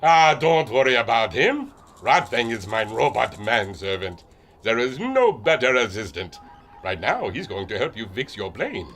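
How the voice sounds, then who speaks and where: An actor playing a huge, jovial German airship captain and inventor with a theatrical delivery and a German accent, in a pulp radio adventure. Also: male voice, very animated, unhurried, a bit bright, heavily acted. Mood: genial, proud. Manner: hearty booming laugh, theatrical delivery.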